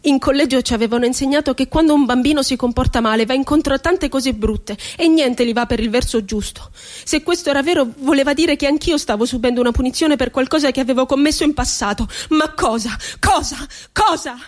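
An actress playing a bitterly ironic psychiatric inmate in a radio theatre monologue, telling her story in a deliberate, dramatic way. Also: high voice, lightly acted. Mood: frightened, anguished. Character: woman psychiatric inmate recounting asylum horrors, bitterly ironic. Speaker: stage actress.

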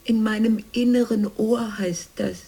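Anxious grown fairy-tale sister, very husky, soft dark tone, dreamy insistence, breathy whispers, radio play. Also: female voice, on the high side, steady tone, measured pace, exaggerated voice. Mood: dreamy, insistent. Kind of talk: German radio drama acting.

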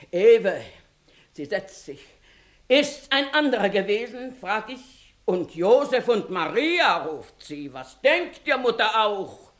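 Indignant, slow-declaiming village mother. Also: mid-range voice. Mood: accusing, dramatic. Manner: declamatory, dramatic, emphatic storytelling. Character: indignant, accusing mother, a scolding village plaintiff. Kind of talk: classic German stage comedy radio acting.

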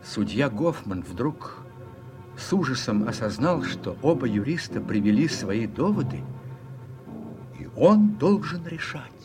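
A man narrating a radio play from a script in a storytelling manner, with a husky voice. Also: mid-range voice, big pitch swings, a little slow, music in the background.

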